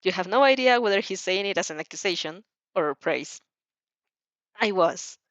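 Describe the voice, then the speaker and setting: A woman's voice: mid-range voice, expressive, fast, a bit bright, no echo. A woman narrating a second-person fan fiction story aloud, informally, stumbling and correcting herself, on a slightly dull home recording.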